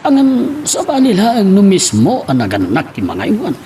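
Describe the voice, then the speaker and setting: Man acting an animated, high-voiced older family man. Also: moderate pace, a little hiss or hum. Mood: reflective, concerned. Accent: Filipino. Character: older man, caring family elder and brother. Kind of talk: Ilocano radio-style drama acting.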